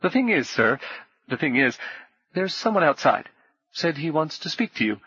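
Stout male innkeeper, nervous and rambling, high jittery voice, put on by a male narrator. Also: sing-song, normal speed, bright, forceful tone, slightly rough, no echo, highs cut off, full character voice. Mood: flustered. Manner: stammering, rambling, anxious.

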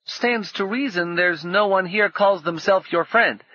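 A man's voice: measured pace, bright, forceful tone, no room sound, dull audio, heavily acted. A man voicing a scrappy young boy who talks in cocky slang, bold but frightened. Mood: tense. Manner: high, cocky slang-tinged talk.

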